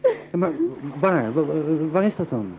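A man's voice: a little high, huge pitch swings, a little slow, creaky, a little hiss or hum, exaggerated voice. A man playing an anxious, brooding young man in a radio play, hesitant and stammering, repeating his words. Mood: pleading, flustered. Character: anxious, brooding young Dutchman fleeing people, troubled by women. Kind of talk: naturalistic radio play dialogue and muttered monologue. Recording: dull lo-fi tape with music.

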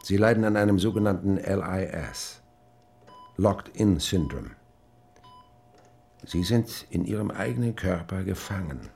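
An actor playing a grave doctor in a radio drama, speaking deliberately with pauses between phrases. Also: male voice, low voice, animated, vocal fry, a little mellow, a little hiss or hum, heavily acted. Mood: grave, careful. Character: grave male doctor gently breaking terrible news.

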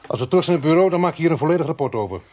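An actor playing a brisk, irritable police inspector in a radio crime drama, speaking curtly with a slightly husky voice. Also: male voice, on the high side, animated, darkish tone. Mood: irritated. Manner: curt, questioning, authoritative.

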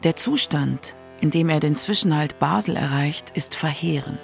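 A narrator reading a scripted radio documentary in a measured, prepared way. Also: medium pitch, gravelly, faint music behind.

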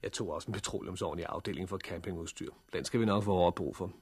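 An actor playing a practical, hardened survivor of a catastrophe in a 1960s radio drama, dry, matter-of-fact and formally polite. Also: male voice, sits low, a little mellow, husky. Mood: brisk, dry.

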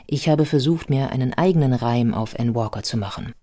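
A woman's voice: a bass voice, brisk, warm tone. An actress playing a reflective female biographer who narrates and questions her own work in a radio drama. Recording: music under the voice. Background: music.

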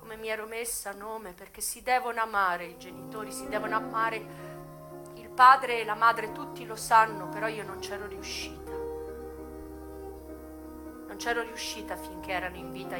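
Woman acting a brothel madam, dramatic radio monologue, bitter and frank, deliberate phrasing, swings between hushed and loud, clear music behind. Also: medium pitch, steady tone, lightly acted. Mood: guilty. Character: American brothel madam recalling her hard youth, bitter, frank. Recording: voice over music.

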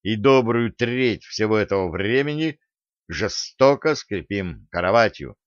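A man narrates a novel in the first person. His voice sits on the low side. The recording is very dry, with a somewhat dull, lo-fi tone. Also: expressive, a little slow, no room sound. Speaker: audiobook narrator.

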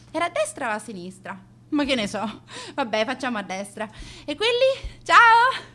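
A woman's voice: high-pitched, fairly bright tone. A young woman acting a vain, scornful teenage schoolgirl who narrates her days in a theatrical, confiding radio monologue. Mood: anxious. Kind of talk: dramatic radio monologue in present tense.

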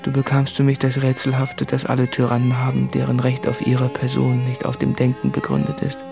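Actor as anguished, gravelly male writer. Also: medium pitch, completely flat delivery, fairly quick, music in the background, natural voice. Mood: bitter, reflective. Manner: brooding, intimate, often sinking into whispers. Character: anguished, self-tormenting male writer confessing in letters. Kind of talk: literary radio drama monologue.

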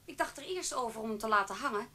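A woman's voice: fairly high pitch, lively intonation, quick pace, pushed and bright. An actress playing a proud, brittle ex-girlfriend in a radio drama, curt and insistently self-sufficient. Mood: brittle, hurt pride. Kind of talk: naturalistic Dutch radio drama.

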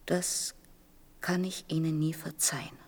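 Husky, low-voiced bitter mother. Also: level tone, unhurried, a little mellow. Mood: bitter, anguished. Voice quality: husky, breathy, often dropping to a whisper. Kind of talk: German radio play acting.

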